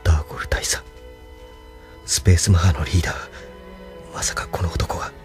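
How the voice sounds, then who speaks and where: A man's voice: deep voice, steady tone, fairly quick, faint music behind, close to an everyday voice. An actor playing a polite, wary young man in an audio drama, speaking hesitantly. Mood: wary, hushed. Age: young adult. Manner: hesitant, polite, murmuring inner thoughts. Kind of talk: Japanese audio drama acting.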